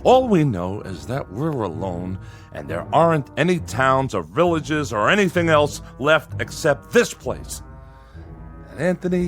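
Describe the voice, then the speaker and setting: Anxious father, dramatically swinging voice. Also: mid-range voice. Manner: anxious, halting, repeats himself. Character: fearful small-town father forcing cheerfulness under dread. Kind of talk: radio drama acting.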